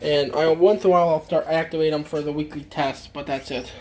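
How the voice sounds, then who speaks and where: A man giving a casual, halting, informal show-and-tell demonstration as a hobbyist. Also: fairly high pitch, fairly even intonation, darkish tone. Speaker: hobbyist demonstrating a radio collection.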